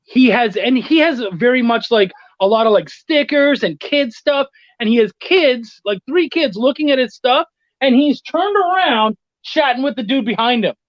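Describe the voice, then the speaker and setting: On a slightly muffled, very dry recording, a man talks casually as an interview guest. He tells stories in an animated, conversational way and swears often. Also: very high voice, normal speed, no echo. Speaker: guest, freelance writer and editor. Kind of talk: casual anecdotal chat.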